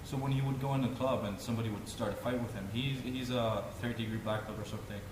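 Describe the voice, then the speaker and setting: A man co-hosting a casual podcast, telling loose stories with many pauses and fillers. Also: medium pitch, steady tone. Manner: rambling, storytelling, many "uh" fillers. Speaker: podcast co-host. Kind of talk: casual chat. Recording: echoey room with background noise.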